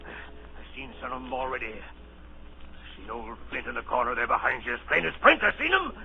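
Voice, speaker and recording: Gravelly, ailing old pirate captain. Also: male voice, mid-range voice, sing-song, moderate pace, slight background noise. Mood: agitated, fearful. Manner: rough sailor dialect, pleading and blustering. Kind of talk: 1930s radio acting. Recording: dull old radio recording with music.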